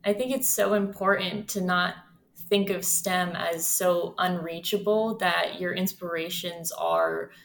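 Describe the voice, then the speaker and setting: Woman host from Ohio, lower pitch, easy conversational delivery. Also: expressive, a little slow, creaky, a bit bright. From Ohio, USA. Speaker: podcast host. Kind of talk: casual interview.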